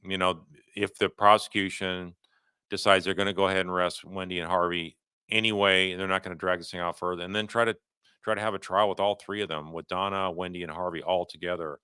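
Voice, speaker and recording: A man hosting a livestream, giving unscripted legal commentary and answering viewer questions in a halting, conversational way, often rephrasing himself. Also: low voice, steady tone, bright, forceful tone, clean tone, no room sound, clean studio sound.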